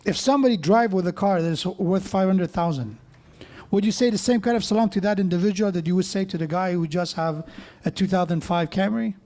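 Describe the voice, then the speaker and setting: A man's voice: high voice, a little fast, darkish tone. A man preaching a sermon in a mosque, conversational, with joking asides and frequent restarts.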